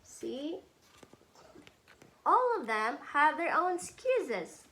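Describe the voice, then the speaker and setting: Slow, gentle woman teacher reading aloud. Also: sits high, big pitch swings. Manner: slow, gentle, asks the child questions. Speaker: pre-K teacher. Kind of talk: storybook read-aloud to a child.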